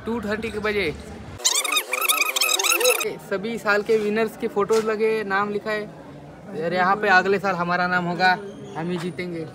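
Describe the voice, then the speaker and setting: A man chatting casually to a vlog camera as a play competition participant. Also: high voice. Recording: loud crowd chatter around, outdoors.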